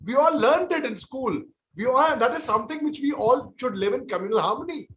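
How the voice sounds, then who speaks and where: A man's voice: very high voice, animated, brisk, fairly bright tone, roomy sound. A man in his forties with an Indian accent, an army veteran speaking as a panelist in a televised debate, earnest and conciliatory, often repeating and restarting his words.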